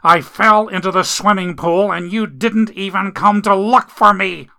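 A man voicing an injured, enraged middle-aged man who bullies his partner, shouting and snarling in pain. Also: high-pitched, fairly even intonation, a little slow, creaky, voice pushed up, full character voice. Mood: furious.

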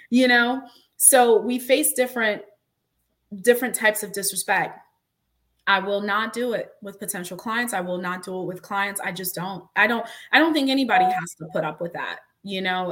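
A woman's voice: medium pitch, fairly bright tone. A woman attorney hosting a casual conversation, speaking emphatically and repeating words to drive her point home. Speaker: host and attorney. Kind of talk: casual podcast-style conversation.

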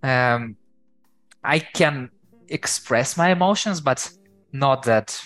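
A man with a Ukrainian accent, a guest on an interview podcast, talking in a lively, hesitant way full of fillers. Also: medium pitch, takes it slowly, no echo, faint music behind. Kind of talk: casual interview chat.

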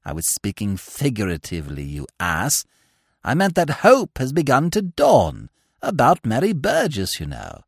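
A man voicing a petulant, excitable young gentleman who talks querulously in chummy slang. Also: medium pitch, a little slow, creaky, breathy edge, no room sound, studio quality, full character voice. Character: young male English dandy, petulant and excitable.

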